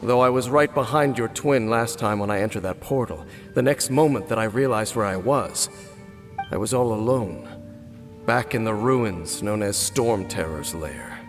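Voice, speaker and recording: An actor playing a solemn, guarded former royal guard captain in a video game, speaking formally and with measured, brooding deliberation. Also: male voice, lowish voice, faint music behind, close to an everyday voice. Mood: grave, composed. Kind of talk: video game character acting.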